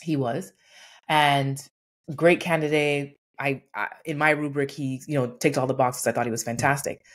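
A woman's voice: very deep voice, normal speed. A woman in her forties, a workplace consultant speaking as a guest in a video-call interview, telling stories earnestly and acting out the quotes. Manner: earnest, animated storytelling with acted-out quotes. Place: home room with painting behind.

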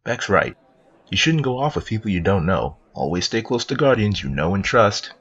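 A man's voice: on the low side, sing-song, moderate pace, a bit bright, husky, full character voice. A man playing a playful, doting narrator who tells a cartoon storybook to a small child, in slightly dull sound. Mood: gentle, playful. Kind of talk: second-person storybook narration.

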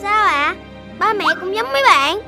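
A performer voicing a young, playful animal child in an expressive, childlike cartoon style. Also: very high-pitched, moderate pace, gravelly, music in the background, full character voice. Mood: sympathetic, curious.

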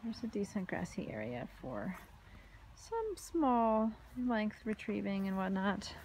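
A woman giving a casual walking tour of a house and its backyard, filmed on a handheld phone, with chatty remarks that trail off into soft asides. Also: medium pitch, very animated, slow pace, slight background noise. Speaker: home tour vlogger. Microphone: phone camera held while walking.

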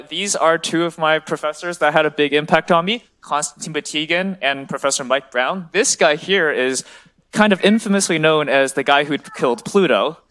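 A young male graduate student speaks to the public in a large lecture hall. His voice sits a little high for a man, and his delivery is informal and enthusiastic, like an eager explainer. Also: a bit bright. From China and the US. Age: 20s. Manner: enthusiastic, checks in with "right?".

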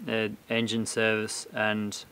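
A man's voice: lowish voice, monotone, takes it slowly, a bit bright, clear, resonant tone. A young man giving a casual, joking tour of his sailing catamaran, explaining the gear plainly. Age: young adult. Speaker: sailing vlogger. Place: on a sailing catamaran, deck and cabin.

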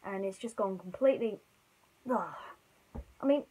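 A young woman telling a children's story informally, with a theatrical, expressive delivery. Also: medium pitch, moderate pace, slight background noise. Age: young adult. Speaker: bedtime storyteller. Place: small bedroom, indoors.

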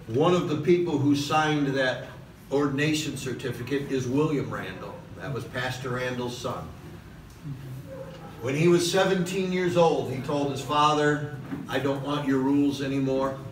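A middle-aged man preaching a sermon from a podium in a large church hall, passionate, with "okay?" asides and slow emphatic lines. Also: mid-range voice. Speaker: pastor. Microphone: far camera in the hall. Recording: echoey hall recorded from a distance with noise.

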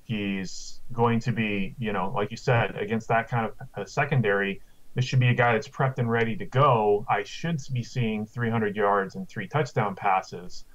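A man's voice: on the low side, steady tone, moderate pace, fairly bright tone. A man in his thirties giving casual, conversational fantasy football analysis over a video call from a room at home. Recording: slightly dull video-call audio.

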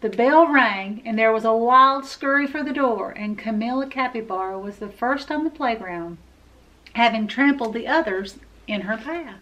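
A woman's voice: a little high, normal speed, a bit bright, muffled recording. A middle-aged woman reading a children's picture book aloud expressively, as a library storyteller. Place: indoor room with full bookshelves.

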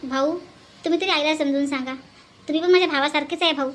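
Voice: sits high, steady tone, fast, a bit bright, soft background music. A performer voicing an earnest, tender city woman in a cartoon melodrama, speaking politely, pleadingly and sentimentally. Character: earnest, tender orphanage woman from Mumbai, bidding farewell.

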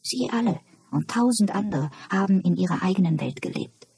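A narrator plays a woman in an asylum from an audiobook novel, calm and philosophical. She explains things in a musing way, with a dark, husky voice. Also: medium pitch, fairly quick, dry recording, exaggerated voice.

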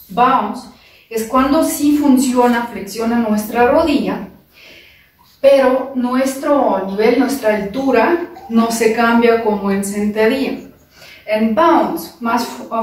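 A young woman, not a native speaker, teaching dance steps with careful, halting phrasing and counted beats, in a large living room. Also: fairly high pitch, a little mellow. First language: not Spanish. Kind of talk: slow step-by-step dance instruction, counting beats. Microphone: far camera. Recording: echoey room from far off.

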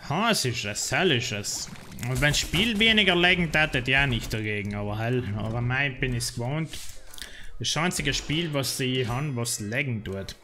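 A man's voice: mid-range voice, animated, moderate pace, bright, forceful tone, faint music behind. A man with a South Tyrolean German accent, rambling and complaining in casual chat while streaming a game. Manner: rambling, complaining, many fillers. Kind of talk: casual gameplay livestream chat.

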